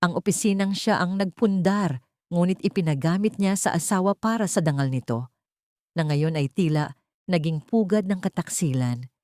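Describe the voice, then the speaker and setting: A woman's voice: on the low side, normal speed, darkish tone, dry recording, studio quality. A woman narrating a scripted emotional story as a dramatic storyteller.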